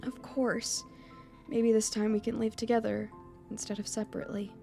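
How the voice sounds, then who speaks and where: A woman's voice: a little high, level tone, normal speed, gravelly, mellow, soft background music. A woman voicing a devoted, supportive teenage boy in love, speaking sincerely and insistently in a visual novel. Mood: gentle.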